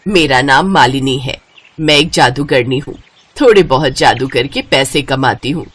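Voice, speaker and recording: A woman's voice: very deep voice, expressive, moderate pace, fairly bright tone, breathy edge, voice pushed down, heavily acted. A woman voicing a kindly middle-aged female magician in a story, instructing in a motherly way. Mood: grateful, friendly.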